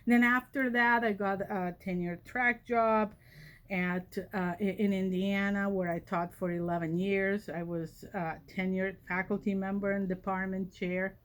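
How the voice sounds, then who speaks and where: A woman's voice: medium pitch, fairly even intonation, measured pace. A woman, a college vice president telling her personal story in a reflective, conversational way. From Catalonia, Spain. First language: Catalan. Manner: reflective, conversational, many fillers. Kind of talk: personal origin story talk.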